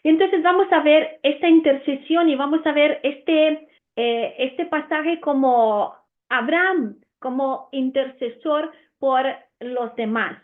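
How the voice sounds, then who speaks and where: A woman with an Italian accent gives an earnest Bible lesson, often pausing with "eh". Her voice sits high. The sound is narrow and tinny, like a phone line. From Romania. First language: Romanian. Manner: earnest, with frequent "eh" fillers and restarts. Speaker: Bible teacher. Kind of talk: Bible teaching.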